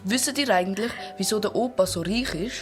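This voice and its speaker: A woman's voice: medium pitch, brisk, music in the background, lightly acted. Eager young Swiss boy, voiced by a woman. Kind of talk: children's radio play acting.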